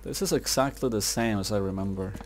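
A man's voice: fairly low pitch, brisk, a little mellow, slight background noise. A young man giving casual gameplay commentary in slangy language, muttering to himself as he plays. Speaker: gamer, video creator. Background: video game music and sounds.

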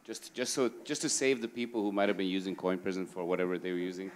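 Man, low voice, casual persistent questioner, conference audience. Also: steady tone, fast.

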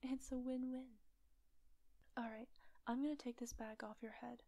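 A woman playing a teasing, manipulative villain kidnapper in roleplay audio, softly half-whispering in a coaxing, unhurried way. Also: on the high side, little pitch movement, no room sound, natural voice. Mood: soothing, persuasive. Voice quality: soft, breathy, often whispery. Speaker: voice actor.

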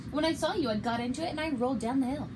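A woman's voice: high-pitched, racing, background noise, lightly acted. A woman voicing a plucky, polite little pig in a children's storybook, with emphatic, childlike delivery. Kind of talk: children's storybook read-aloud.

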